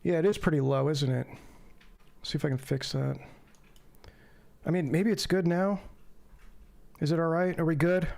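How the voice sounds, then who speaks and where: A man's voice: a little high, steady tone, moderate pace, creaky, pauses often, darkish tone. A man hosting a casual call-in livestream, talking loosely with many fillers and restarts into a home streaming mic. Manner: rambling, lots of fillers and restarts. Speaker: livestream host.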